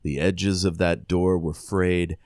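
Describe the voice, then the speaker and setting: A man's voice: low-pitched, fairly even intonation, slow pace, a little mellow, no echo, clean studio sound. A man co-hosting a podcast, discussing a book casually. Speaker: podcast co-host. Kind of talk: casual book discussion with short read passages.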